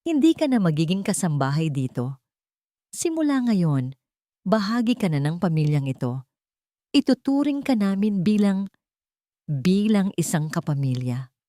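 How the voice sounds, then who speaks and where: A woman voicing a grave, remorseful male billionaire in an audio drama, speaking in a halting, earnest way. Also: fairly low pitch, very animated, mellow, dry recording, clean studio sound. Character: remorseful, commanding billionaire father confessing and apologizing.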